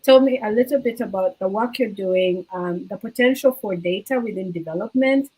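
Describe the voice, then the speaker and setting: A woman's voice: medium pitch, moderate pace, a little mellow, husky, rough recording. A woman moderating a panel discussion, asking loose, casual questions full of hedges and fillers.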